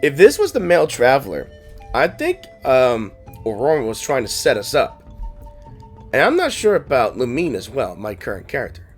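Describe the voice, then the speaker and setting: A man's voice: medium pitch, wildly swooping pitch, moderate pace. A man giving casual, playful game playthrough commentary, thinking aloud and reacting, with game music behind. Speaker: gaming streamer.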